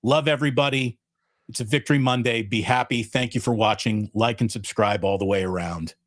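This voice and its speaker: A mid-pitched man co-hosting a casual sports talk show. He talks emphatically and repeats phrases to drive a point home. The sound is dry and close. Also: brisk, no room sound.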